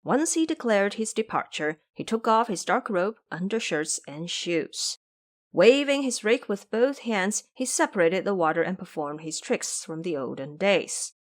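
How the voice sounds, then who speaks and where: A woman giving scripted narration as the storyteller of an audio drama. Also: medium pitch.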